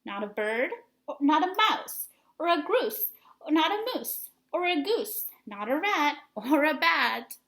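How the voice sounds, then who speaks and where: Stern storybook mama cat, played by a woman, high pitch, scolding, emphatic, lecturing. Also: big pitch swings, slow, a bit bright, full character voice.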